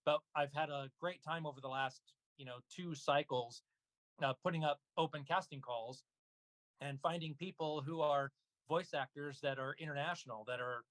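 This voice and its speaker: A man speaking casually as an interview guest about his work, halting with frequent pauses and asides. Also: a little high, a bit bright, dry recording, poor audio. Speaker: guest, voice actor and audio drama producer. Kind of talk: casual interview talk about own projects.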